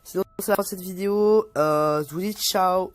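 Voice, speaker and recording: A man's voice: high-pitched, moderate pace, dry recording. A young man vlogging casually, reading an article aloud and stumbling over words, with many fillers. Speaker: youtuber.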